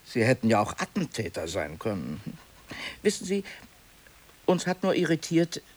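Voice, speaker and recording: An actor playing a nosy, uneasy city office clerk in a radio play, chatty and politely probing. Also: male voice, mid-range voice, wildly swooping pitch, moderate pace. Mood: sheepish. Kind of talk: German radio play acting, naturalistic dialogue.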